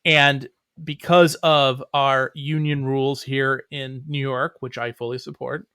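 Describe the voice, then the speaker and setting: Middle-aged guy doing a casual interview, bright punchy voice, dry close sound, kinda wry. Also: medium pitch, little pitch movement, unhurried, clean tone, no echo. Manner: wry, joking. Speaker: comics writer and playwright, interview guest.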